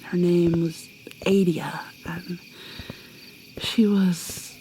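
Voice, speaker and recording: A woman playing an ancient vampire mermaid in an ASMR roleplay, whispering softly and haltingly with a wistful manner. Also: fairly low pitch, fairly even intonation, a little hiss or hum, rough recording. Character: ancient female vampire mermaid, guarded and sorrowful.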